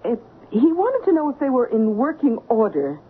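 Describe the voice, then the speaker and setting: Earnest woman, very expressive, old radio. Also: on the high side, normal speed, husky, phone-quality sound, heavily acted. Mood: resolute. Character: sharp, earnest female household assistant, resolute. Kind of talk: 1970s radio drama acting. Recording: thin, faintly noisy 1970s radio broadcast.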